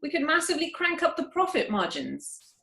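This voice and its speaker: High, swooping woman as a nervy stage actor. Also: wildly swooping pitch, fairly quick, creaky, sharp tone, full character voice. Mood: pedantic, protesting. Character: nervy, defensive male stage actor, easily scared. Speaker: amateur actor in a remote group reading. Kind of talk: comic stage-play reading. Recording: roomy home internet call.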